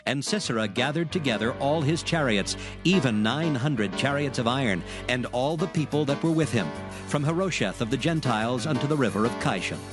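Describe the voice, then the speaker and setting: A man's voice: mid-range voice, expressive, fairly quick, over music, lightly acted. A man narrating dramatized scripture as a solemn storyteller, reading scripted text.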